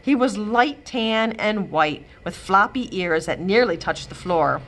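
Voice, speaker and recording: A woman librarian reading a children's chapter book aloud with expressive, animated storytelling. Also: mid-range voice, measured pace, a little hiss or hum, low-quality recording. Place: library, indoors.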